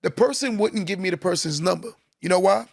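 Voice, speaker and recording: High gravelly guy preaching on a live podcast, dry close sound. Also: a little fast, no room sound. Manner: preachy, repeats key lines for emphasis. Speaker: podcast host. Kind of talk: motivational live-stream talk.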